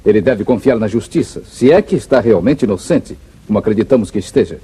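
An upright backlands hero in an old Brazilian radio western. His voice is low, very dark and husky, calm and earnest with a determined bearing. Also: fairly quick. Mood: concerned, determined. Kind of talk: 1950s Brazilian radio drama acting.

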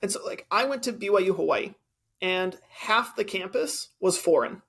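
Male podcast co-host with a high voice who argues his case with examples. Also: animated, moderate pace, a bit bright. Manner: argumentative, explains with examples. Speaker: teacher. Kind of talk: casual debate chat.

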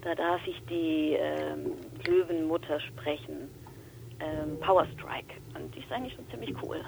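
A young woman from Franconia with a Franconian accent softened by Swabian traces, a guest voice actor chatting casually and eagerly, often laughing. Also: sits low, a little slow, creaky, background noise. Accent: Franconian German with Swabian traces. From Franconia, Germany. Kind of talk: casual chat.

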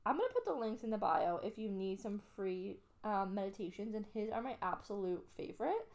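A woman's voice: mid-range voice, big pitch swings, a little fast, gravelly, a little mellow. A young adult woman from Canada, chatting casually and upbeat as a podcast co-host. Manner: chatty, upbeat, lots of fillers. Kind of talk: casual chat.

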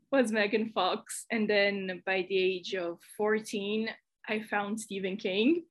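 A woman from Brazil with a Brazilian Portuguese accent, speaking English as a guest on a podcast and telling stories with quick laughs. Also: medium pitch. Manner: animated storyteller, quick laughs. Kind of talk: casual chat.

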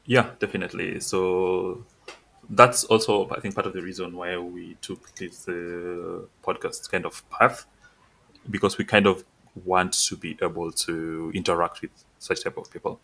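Man, podcast co-host, very low pitch, forceful tone, halting delivery. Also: fairly even intonation, creaky, low-quality recording. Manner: hesitant, many "uh" and "kind of" fillers. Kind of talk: casual chat.

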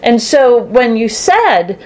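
A woman's voice: fairly high pitch, very animated, takes it slowly, a little mellow, rough recording. A woman from Boston with a Boston accent, co-hosting a podcast in casual chat and talking in a chatty way. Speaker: podcast co-host.

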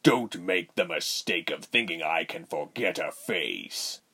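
A man's voice: low-pitched, lively intonation, measured pace, sharp tone, husky, voice pushed down, full character voice. A man voicing a cruel, arrogant general in a fantasy storybook, with a menacing, commanding manner. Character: cruel, arrogant male general, wicked villain.

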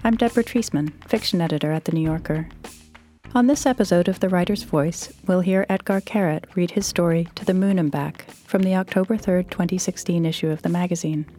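A woman presents a literary podcast from a script. Her voice is fairly low and very mellow, and light music plays behind her. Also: a little fast. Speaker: host, magazine fiction editor.

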